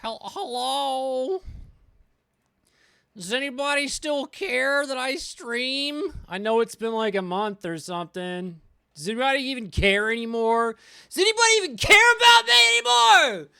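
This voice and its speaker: A streamer chatting casually on a livestream in a theatrical, rambling way, repeating phrases and swinging between pleading outbursts and low deadpan. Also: unhurried, vocal fry, clear, resonant tone, no room sound. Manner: repetitive, rambling.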